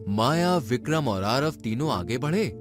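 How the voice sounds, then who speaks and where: A man's voice: medium pitch, lively intonation, a little slow. A man narrating a scripted story in a dramatic style. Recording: music behind the voice. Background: music.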